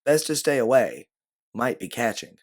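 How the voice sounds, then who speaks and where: A man voicing a quick-witted, bossy woman posing as a fussy, exaggeratedly polite nursemaid with a Southern US accent, in a story. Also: mid-range voice, very animated, pitched above the performer's own voice. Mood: sweetly deceitful.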